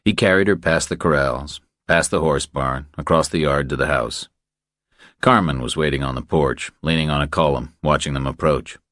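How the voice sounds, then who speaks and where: A man's voice: extremely low pitch, normal speed, fairly bright tone, no room sound, studio quality. A man reading scripted thriller narration as an audiobook narrator.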